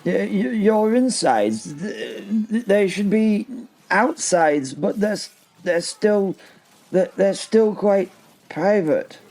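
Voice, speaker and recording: A man's voice: sits high, expressive, slow pace, darkish tone, heavily acted. A man playing a battle-hardened medieval knight in an audio drama, a loyal comrade who swears and stammers. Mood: flustered disbelief.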